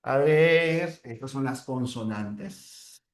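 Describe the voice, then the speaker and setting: A man's voice: mid-range voice, drawn-out words. A man with a Peruvian accent lecturing a class, repeating key terms and checking in with short tag questions. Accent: Peruvian Spanish. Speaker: teacher. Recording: roomy echo.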